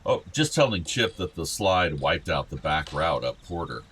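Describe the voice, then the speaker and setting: A man's voice: a bass voice, sing-song, moderate pace, a bit bright, slight background noise, full character voice. A male actor playing a fond, outdoorsy middle-aged father of two grown sons in a naturalistic radio play. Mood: warm, reminiscing. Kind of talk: naturalistic radio drama dialogue.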